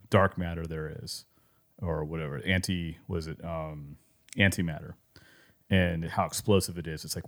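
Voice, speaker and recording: Deep-voiced man thinking aloud. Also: a bit bright. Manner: searching, circling phrases, bursts of emphasis. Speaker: podcast co-host. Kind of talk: casual podcast chat.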